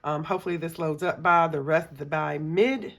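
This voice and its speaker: Low-voiced woman with a scratchy cold voice. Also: a little fast, creaky, a bit bright. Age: middle-aged. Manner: chatty, many ums, counts money aloud. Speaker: budgeting channel host. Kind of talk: casual chat while cash stuffing.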